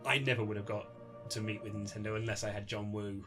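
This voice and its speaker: A British man being interviewed as an author, chatting casually in a rambling, animated way and often acting out quoted remarks. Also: fast. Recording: low-budget recording with music underneath.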